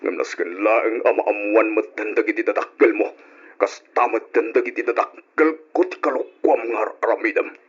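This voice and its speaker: An actor playing an aggrieved, betrayed husband in an Ilocano radio drama, speaking earnestly with emotion and an Ilocano accent. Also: male voice, on the low side, normal speed, breathy edge. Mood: firm, pained. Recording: muffled, dull old radio drama recording.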